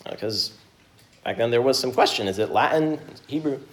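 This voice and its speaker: A man's voice: medium pitch, expressive. Earnest man preaching a sermon. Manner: earnest, measured preaching with pauses. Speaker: pastor. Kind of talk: sermon with scripture reading and prayer.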